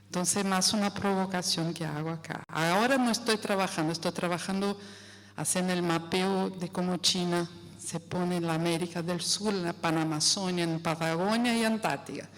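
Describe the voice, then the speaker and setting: A woman from Brazil with a Brazilian Portuguese accent, speaking Spanish as a second language in an audience question, halting and searching for words. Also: on the low side, steady tone. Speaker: audience member asking a question. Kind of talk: spontaneous remarks in a lecture Q&A. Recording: echoey hall, distant mic, noisy.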